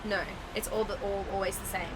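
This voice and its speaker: A woman hosting a casual interview, curious, asking questions and thinking aloud until her voice trails off quietly. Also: mid-range voice, little pitch movement, fairly quick, noisy background. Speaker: podcast host.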